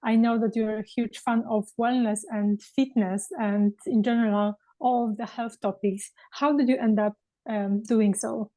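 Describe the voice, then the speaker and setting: A woman hosting a podcast, reading a measured scripted intro and then asking questions. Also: on the high side, steady tone, warm tone, low-quality recording. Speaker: podcast host.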